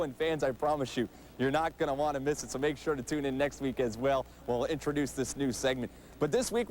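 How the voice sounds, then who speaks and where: A man's voice: on the high side, darkish tone, slight background noise. A man presenting a hockey show in a polished announcer delivery, speaking to viewers and interviewing. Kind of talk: upbeat scripted TV presenting and interviewing.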